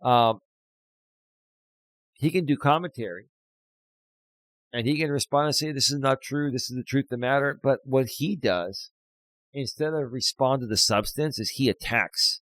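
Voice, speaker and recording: A man's voice: mid-range voice, normal speed, long pauses, no room sound, poor audio. A man co-hosting a podcast, talking passionately and often restarting his words. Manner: describes himself as loud and projecting. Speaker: podcast co-host. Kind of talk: casual podcast talk.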